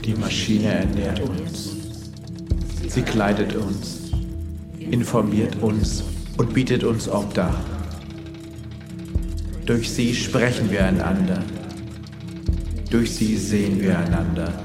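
A man's voice: on the low side, steady tone, slow, pauses often. A man playing a cult leader in a radio play, reciting a creed in a solemn, liturgical way. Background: loud music.

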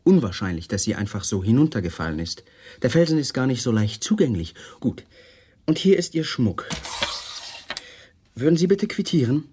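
A man's voice: mid-range voice, big pitch swings, moderate pace, pauses often, warm tone, breathy edge. An actor playing a courteous, probing male homicide lieutenant in a radio crime play, formal and businesslike. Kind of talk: 1980s radio play acting.

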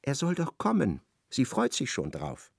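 A male radio play narrator with a very animated, theatrical delivery. His voice has a creaky grain and sits in a dry, close recording as he relays what people said. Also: mid-range voice, a little slow, dry recording. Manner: theatrical, retells dialogue in indirect speech.

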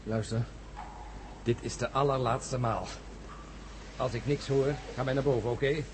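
A man's voice: medium pitch, fairly even intonation, unhurried, noisy background, lightly acted. An actor playing a sceptical, impatient male astronaut survivor in a science-fiction radio drama, blunt and irritable. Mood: irritated.

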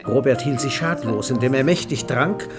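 An actor as a wry storyteller, reading scripted literary narration in a radio play. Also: male voice, medium pitch, moderate pace, natural voice. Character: wry storyteller of a boozy party. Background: music.

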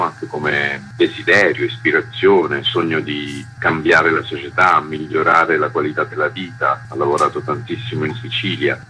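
Very deep, bright-voiced man. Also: a little hiss or hum, low-quality recording. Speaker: festival artistic director being interviewed. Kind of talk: conversational interview explanation.

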